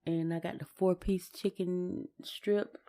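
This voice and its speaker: A woman's voice: lowish voice, steady tone, slow, mellow, faint music behind. A woman co-hosting a podcast in a casual personal chat, hesitant and often repeating and rephrasing her words. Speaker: podcast co-host.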